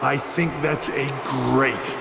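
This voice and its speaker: A gravelly, slow voice in an echoey space: a wary, deliberate pro wrestler from a video game. He takes his time with every word. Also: medium pitch, fairly even intonation. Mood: guarded. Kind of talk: video game cutscene dialogue. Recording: echoey room, loud background noise.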